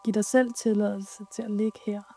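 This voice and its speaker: A woman leading a guided yoga nidra meditation in a soothing, soft, unhurried way with pauses. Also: mid-range voice, little pitch movement, soft background music.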